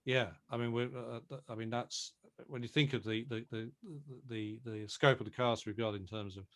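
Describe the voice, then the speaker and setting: A man's voice: medium pitch, level tone, normal speed, a bit bright. A man talking conversationally as an interviewed producer, repeating words hesitantly as he builds his points. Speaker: audio drama producer being interviewed.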